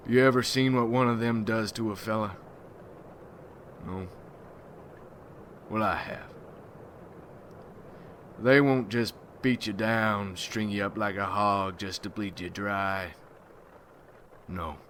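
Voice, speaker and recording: Man playing a low, slow, gruff vampire hunter. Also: fairly bright tone. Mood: grim. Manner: folksy, brooding, rough-spoken. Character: gruff, ruthless, wary male vampire hunter guild leader. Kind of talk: ASMR roleplay acting. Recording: noisy ambience behind the voice.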